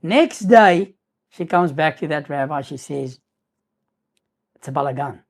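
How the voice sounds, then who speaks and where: A man's voice: on the high side, animated, a little slow. Man telling a rambling story. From South Africa. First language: Afrikaans. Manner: rambling, restarts, sprinkles in Hebrew terms. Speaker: YouTuber. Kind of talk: casual storytelling to camera.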